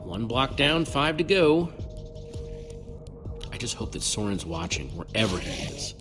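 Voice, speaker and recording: Very loud music surrounds a young male video game hero. He has a mid-pitched voice and an earnest, plucky delivery with a light wry edge, natural and unforced. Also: very animated, measured pace. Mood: focused.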